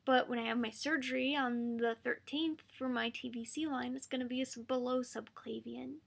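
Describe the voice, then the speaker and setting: A woman losing her voice, speaking softly and haltingly with frequent pauses as she answers a viewer's question. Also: fairly high pitch, steady tone. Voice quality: creaky, hoarse. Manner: soft-spoken, halting with frequent ums. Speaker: patient sharing her experience. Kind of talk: casual video answer to a viewer.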